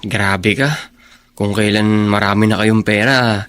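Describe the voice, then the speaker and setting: A man's voice: fairly low pitch, steady tone, unhurried, a bit bright. An actor playing a teenage boy coaxing his friend to go out, pushy and teasing. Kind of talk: radio drama acting.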